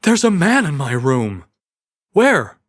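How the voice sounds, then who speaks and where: Man putting on a high-pitched, frantic young woman. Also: big pitch swings, slow pace, exaggerated voice. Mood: alarmed. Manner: urgent, exclamatory. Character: alarmed, excitable young woman in a fantasy tale.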